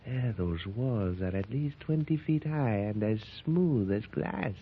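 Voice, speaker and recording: An actor playing a steady male expedition companion in a 1930s radio serial. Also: lowish voice, slow, narrow, tinny audio. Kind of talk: 1930s radio drama acting. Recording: very hissy 1930s radio recording.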